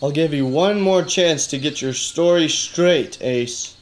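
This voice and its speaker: A man's voice: fairly high pitch, slow pace. A man playing a weary, skeptical hardboiled detective with colloquial talk, in a noir-parody radio drama. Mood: stern, skeptical. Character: exasperated, hardboiled male detective questioning his cousin.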